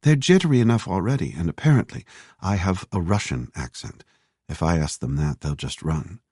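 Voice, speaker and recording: A man's voice: low voice, expressive, normal speed, warm tone, slightly rough, dry recording. A man voicing a practical young woman, speaking in a dry, firm and teasing way in an audiobook thriller.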